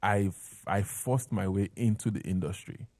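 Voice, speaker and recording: A man's voice: lowish voice, moderate pace, darkish tone, no room sound. A man with a Nigerian accent talking conversationally as an interview guest, sharing personal stories.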